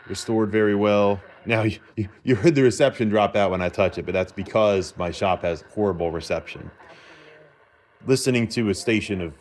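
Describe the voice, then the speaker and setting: A man giving a casual, rambling demonstration in an informal, self-assured way, like a hobbyist vlogger. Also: on the low side. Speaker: vlogger showing a repaired vintage car radio. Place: repair shop, indoors.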